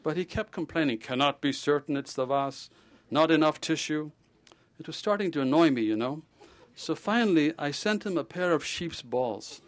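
A man voicing a blunt, jovial male surgeon who tells stories in a lively, teasing and theatrical way. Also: fairly high pitch, moderate pace, voice pushed up, close to an everyday voice. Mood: amused, exasperated.

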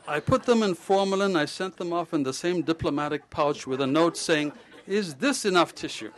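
A man voicing a blunt, jovial male surgeon who tells stories in a lively, teasing and theatrical way. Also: fairly high pitch, moderate pace, voice pushed up, close to an everyday voice. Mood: amused, exasperated.

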